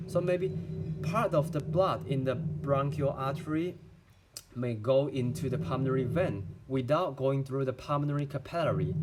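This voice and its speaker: A man's voice: on the high side, heavy background noise. Chinese-accented young man explaining physiology. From China. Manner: careful, halting, asks and answers his own questions. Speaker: medical student. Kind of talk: lecture-style explainer video.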